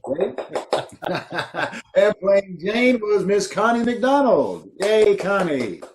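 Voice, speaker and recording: A man's voice: high-pitched, sing-song, slow pace, noisy background. Chatty man signing off a show. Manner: chatty, laughing, loose and informal. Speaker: show host introducing the cast. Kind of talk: casual live sign-off. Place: at home. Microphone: video call.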